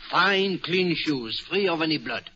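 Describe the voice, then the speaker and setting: Bright-voiced fastidious French-accented gentleman detective. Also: fairly high pitch, expressive. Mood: assured, explanatory. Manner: precise, explanatory, with formal foreign phrasing. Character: fastidious, self-assured foreign gentleman detective. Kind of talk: old-time radio drama acting. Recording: muffled old radio recording.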